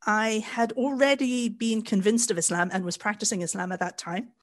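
A middle-aged woman from Canada with a Canadian accent, co-hosting a podcast in a measured storytelling way with frequent fillers. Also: medium pitch, fairly even intonation. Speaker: podcast co-host. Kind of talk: casual podcast chat.

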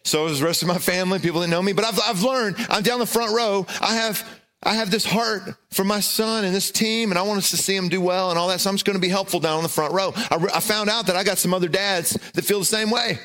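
Humorous, animated preacher man. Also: fast talker. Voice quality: loud voice. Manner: self-deprecating, humorous storytelling with big pitch swings. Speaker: pastor. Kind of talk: sermon with personal story.

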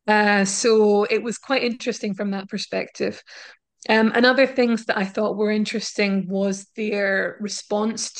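A female linguistics professor answering interview questions in a thoughtful, explanatory way, with frequent pauses and restarts. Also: medium pitch. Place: university in Scotland.

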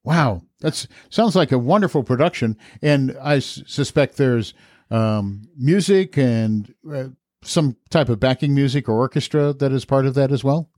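A man's voice: mid-range voice, a little slow, a little mellow, no echo, clean studio sound. A man hosting a podcast, asking questions in a casual, conversational interview style.

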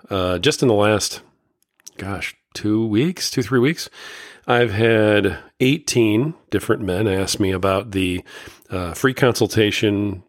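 Middle-aged male podcast host, deep voice, dry close sound, slight rasp, folksy. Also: no echo. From Indiana, USA. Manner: folksy, earnest, many fillers and restarts. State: slightly raspy voice. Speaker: podcast host and life coach. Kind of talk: casual solo podcast talk.

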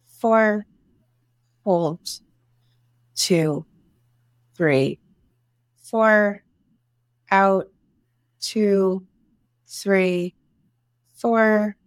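Woman, meditation teacher, extremely unhurried, long pauses, soothing instruction, dry recording. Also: mid-range voice, no room sound. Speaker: meditation guide, breathwork teacher. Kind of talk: guided meditation.

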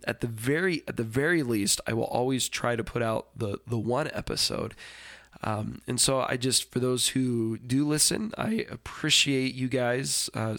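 A congested man talks through his solo podcast intro, rambling and apologetic, tripping over restarts and ums. His voice sits at an ordinary middle pitch, stuffy through the nose, recorded close and dry with no room sound. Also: fairly bright tone, no room sound. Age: adult with a daughter. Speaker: podcast host. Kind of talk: casual solo podcast talk.

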